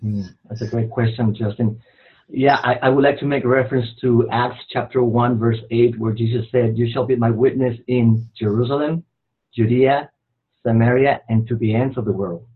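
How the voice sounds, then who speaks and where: A man's voice: medium pitch, fairly even intonation, normal speed. Man on a thin, phone-like connection. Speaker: senior pastor, panelist. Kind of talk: panel answer on a webinar. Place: online video call. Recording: thin phone-like call audio.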